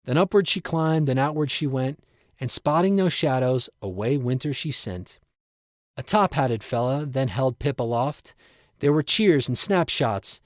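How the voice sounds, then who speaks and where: A man's voice: medium pitch, expressive, measured pace, no room sound, studio quality. A man reading his own rhyming story aloud in a storybook narration style. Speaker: writer reading own piece.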